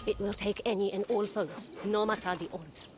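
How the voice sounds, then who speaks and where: Game role: earnest, proud female fighter played by a woman, medium pitch, stately poetic delivery. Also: steady tone, background noise, lightly acted. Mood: proud, defiant. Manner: formal, deliberate, poetic phrasing. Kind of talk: dramatic video game acting.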